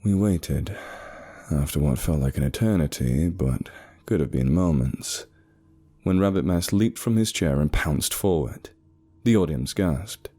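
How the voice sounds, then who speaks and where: A man reading a first-person horror story as a scripted narration, in a measured, suspenseful manner. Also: extremely low pitch, animated, dark tone, breathy edge. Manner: measured, suspenseful, short pauses. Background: eerie background music.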